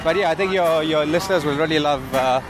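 A man who grew up in India, co-founder of a food startup, chatting casually in an interview while walking outside, with a relaxed and chatty manner and many fillers. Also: fairly high pitch, fast, loud music behind the voice, poor audio. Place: outdoors on a city street. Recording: outdoor street recording with traffic. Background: traffic noise.

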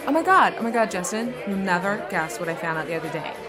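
A woman plays a saintly overachiever in a radio comedy, gushing with a condescending, bitchy edge. Her voice sits at an ordinary female pitch, with music and a busy public crowd behind. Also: lively intonation, fast talker, natural voice. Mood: gushing, showing off. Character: smug, saintly overachieving American girlfriend with a bitchy streak.

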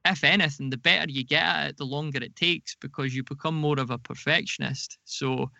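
A man talks through his points as an interview guest, in a creaky voice with a crisp, bright edge. The sound is close and dry. He pauses and rephrases as he explains. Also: fairly high pitch, steady tone, dry recording, muffled recording. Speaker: podcast guest, audio drama producer.

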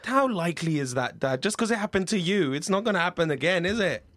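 A man's voice: sits high, animated, fast, a bit bright, no room sound. A man playing an earnest, sheltered nine-year-old son in improvised comedy, reasoning hesitantly and stumbling over his words. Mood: exasperated. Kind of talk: improvised comedy role-play.

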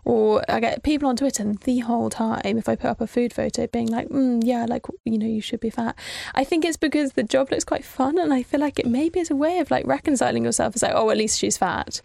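A woman's voice: a little high, brisk, gravelly, hardly any pauses, darkish tone, dry recording. A woman chatting casually as a podcast guest, peppering her talk with "like". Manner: chatty, heavy use of "like". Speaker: online food magazine writer, podcast guest. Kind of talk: casual chat.

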